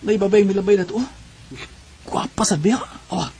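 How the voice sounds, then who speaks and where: Guy doing a lovestruck young dude, really high voice, slangy banter, radio play. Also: brisk, mellow, lightly acted. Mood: playful. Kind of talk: radio drama acting.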